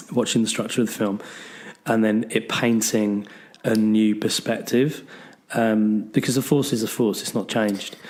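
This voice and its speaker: A man's voice: fairly low pitch, a little mellow. Man with a heavy cold. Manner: rambling, full of ums and restarts. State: has a bad cold, losing his voice. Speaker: podcast co-host. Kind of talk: casual chat.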